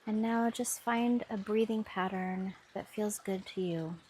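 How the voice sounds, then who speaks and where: A woman leads a guided meditation in a soft, soothing voice. She speaks very slowly, and her pitch sits in an ordinary middle range. A faint hum of background noise runs underneath. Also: steady tone, poor audio. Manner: slow, soft, soothing instructions.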